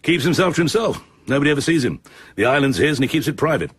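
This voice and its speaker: Brisk, husky British chief of staff. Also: male voice, on the high side, animated, a bit bright, no room sound. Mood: briskly informative. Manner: brisk, precise, informative. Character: efficient, level-headed male chief of staff, military officer. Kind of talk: radio drama acting.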